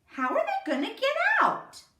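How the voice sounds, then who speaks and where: A woman voicing an eager little boy full of bright ideas in a picture-book reading. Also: very high-pitched, wildly swooping pitch, moderate pace, pitched above the performer's own voice, full character voice. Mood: puzzled. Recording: roomy, distant microphone.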